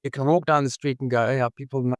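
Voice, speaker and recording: A man's voice: medium pitch, steady tone, quick pace, dry recording. A South African man with a South African accent, talking reflectively as a podcast guest and often searching for his words. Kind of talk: reflective conversational interview.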